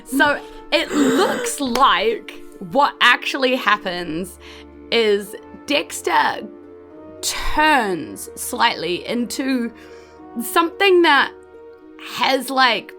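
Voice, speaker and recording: A woman with a New Zealand accent, improvising narration as game master of a tabletop game, with halting, drawn-out phrasing. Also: sits high, very animated, pushed and bright, faint music behind.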